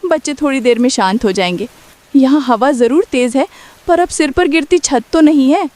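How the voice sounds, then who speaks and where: A woman's voice: high voice, moderate pace, a little mellow, natural voice. A woman playing a poor, caring and protective village mother in a cartoon story. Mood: relieved.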